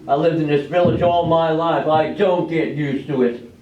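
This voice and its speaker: A man's voice: a little high, fairly even intonation, moderate pace, close to an everyday voice. A man playing a troubled, plain-spoken village farmer and father in a staged reading, with folksy rural diction and an uneasy, questioning way of talking. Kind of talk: amateur readers' theater stage acting. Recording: dull, echoey room, distant mic.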